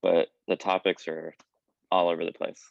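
A man's voice: low voice, level tone, normal speed, creaky, no echo. A man chatting casually on a video call with dull, lo-fi sound. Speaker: podcast cast member. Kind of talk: casual chat.

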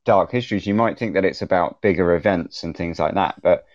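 A man podcaster casually explaining on a panel, halting and often repeating words, in a roomy, dull recording. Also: deep voice, a little mellow. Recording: roomy, slightly dull lo-fi sound.

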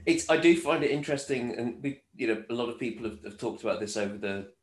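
A man's voice: medium pitch, fast, roomy sound. A male host in a casual interview, asking questions and thinking aloud with hesitant, restarting phrasing. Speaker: podcast host.

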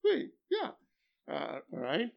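An older man, a philosophy professor whose first language is Luo, speaking haltingly in an interview, then pressing with emphatic rhetorical questions. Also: high voice, wildly swooping pitch, creaky. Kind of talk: academic interview. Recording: dull lo-fi recording.

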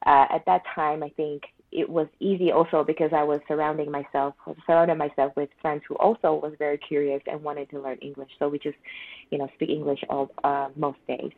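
Woman, thirties, low voice, chatty with easy laughs, dry tinny phone-like sound. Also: little pitch movement, fairly quick, no echo. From Malaysia. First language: Mandarin Chinese. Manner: chatty, frequent laughs and fillers. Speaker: therapist guest. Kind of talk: casual interview conversation.

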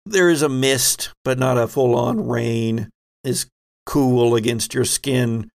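A man hosting a tabletop role-playing game as game master, improvising narration and often pausing mid-sentence to find his words. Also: medium pitch, creaky, darkish tone.

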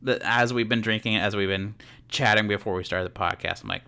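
Man, podcast co-host, playful, stumbles on words, bright tone. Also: lowish voice, brisk, smooth. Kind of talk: casual chat.

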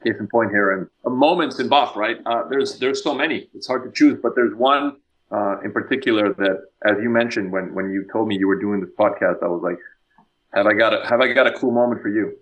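A man's voice: lowish voice, a little fast, rough recording. A young adult man from Mexico, a composer and guitarist, chatting eagerly as a podcast guest, often restarting and repeating words. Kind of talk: casual podcast chat.

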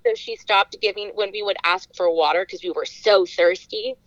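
A young woman from California, a podcast guest, telling childhood stories in a lively way and laughing often. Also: medium pitch, normal speed, no echo, low-quality recording. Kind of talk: casual chat.

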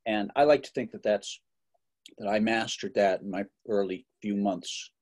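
A man's voice: lowish voice, normal speed, a little mellow. Chatty middle-aged man telling stories. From Massachusetts. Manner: chatty, joking, many fillers and restarts. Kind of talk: relaxed interview storytelling.

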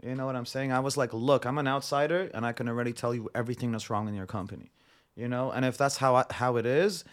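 Blunt, animated young man telling stories. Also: mid-range voice, quick pace. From Montreal. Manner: animated, acts out quoted dialogue; blunt and profane. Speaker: podcast guest. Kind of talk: casual storytelling chat.

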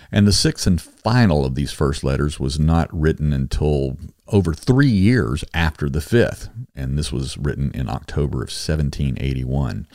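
A man hosting and narrating a history series in a measured, formal, earnest manner, reading from a script with explanatory asides. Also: a bass voice, darkish tone, dry recording, clean studio sound. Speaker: host and reader of a history series.

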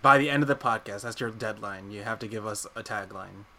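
A man in his mid-twenties hosting a casual podcast chat, playful and quick to laugh as he leads the introductions. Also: fairly low pitch, bright, forceful tone, a little hiss or hum. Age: 20s. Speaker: podcast host.

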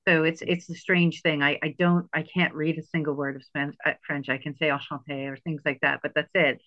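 A woman's voice: sits low, little pitch movement, brisk, highs cut off. A woman from Quebec hosting a podcast, asking questions casually and affirming her guest with short replies. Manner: warm, affirming listener with short interjections. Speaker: podcast host. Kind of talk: casual interview.

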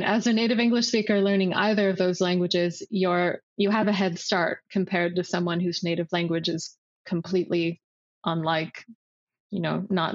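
Woman, emphatic, chatty, dull sound. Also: lowish voice. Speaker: guest. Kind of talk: casual interview talk.

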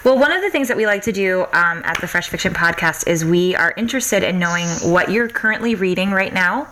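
Upbeat low-voiced woman podcast host. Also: lively intonation, brisk, bright, forceful tone, a little hiss or hum, low-quality recording. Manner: upbeat, enthusiastic, giggly. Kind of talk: casual interview chat.

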